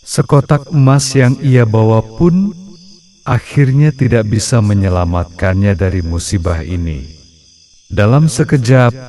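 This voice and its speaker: A man narrating a scripted folktale in a measured, dramatic storybook manner. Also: mid-range voice, warm tone.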